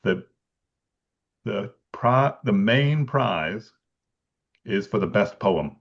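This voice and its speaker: Gravelly low-voiced man on a dull call line. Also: expressive, drawn-out words. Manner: thoughtful, many restarts and ums, laughs lightly. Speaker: bookselling researcher guest. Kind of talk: conversational explanation.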